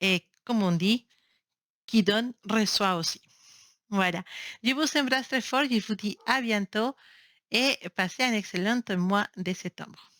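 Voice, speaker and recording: Halting non-native woman reading tarot. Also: mid-range voice, a bit bright, no room sound, clean studio sound. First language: not French. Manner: halting, searching for words, many restarts; affectionate, encouraging. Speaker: tarot reader. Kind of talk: casual tarot reading to camera. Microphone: built-in camera mic.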